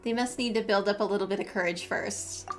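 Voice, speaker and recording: A woman's voice: mid-range voice, fairly quick. A woman game streamer giving casual live reactions in short, sympathetic, cooing asides. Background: game music.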